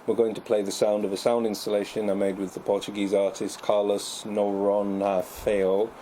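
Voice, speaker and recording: A man's voice: fairly low pitch, level tone, moderate pace, warm tone, slight background noise. A man co-hosting a casual radio chat, speaking in a wordy, mock-formal way with dry humour. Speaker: radio show co-host.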